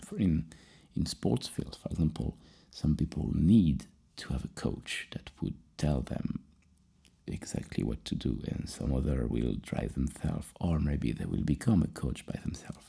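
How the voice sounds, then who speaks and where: A soft-spoken ASMR host playing a psychologist, musing in a halting way and repeating words. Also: a bass voice, expressive, creaky, breathy edge.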